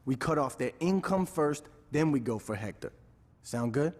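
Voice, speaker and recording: A man's voice: mid-range voice, lively intonation, moderate pace, natural voice. Man as a wry gangster in a reverberant hall. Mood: wry, casual. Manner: casual, sardonic. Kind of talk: video game cutscene acting.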